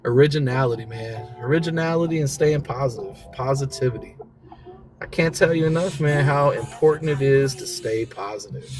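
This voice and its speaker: A man's voice: mid-range voice, moderate pace, music in the background. An American man from the South hosts a casual motivational livestream, talking in a slangy, encouraging way and repeating key phrases for emphasis. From southern United States (Mississippi and Florida). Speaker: talk show host. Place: in a vehicle during a commute.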